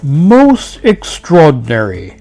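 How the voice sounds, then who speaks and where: A man voicing a fussy, bumbling male doctor in a storybook, with a deliberate, exclaiming delivery. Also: fairly high pitch, huge pitch swings, mellow, full character voice. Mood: amazed, inquisitive. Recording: music behind the voice.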